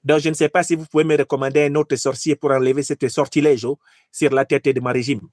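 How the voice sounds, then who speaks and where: A man's voice: medium pitch, little pitch movement, fast, darkish tone, dry recording, natural voice. Casting: male performer as nervous, superstitious ruler clinging to power, stammering, repetitive, political satire, dry sound. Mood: alarmed, stammering. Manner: stammering, repeats words. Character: anxious ruler obsessed with keeping power, superstitious.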